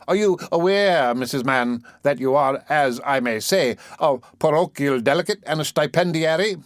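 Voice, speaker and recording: A fat, choleric beadle, as a man plays him, puffed up with his own importance and fond of grand words. His voice sits raised above the reader's own and a touch louder, delivered with stately, self-satisfied weight. It is a storybook voice in a dry, close recording. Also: on the high side, expressive, moderate pace, no room sound, lightly acted. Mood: indignant. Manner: pompous, self-important oratory. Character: fat, choleric, pompous male parish beadle.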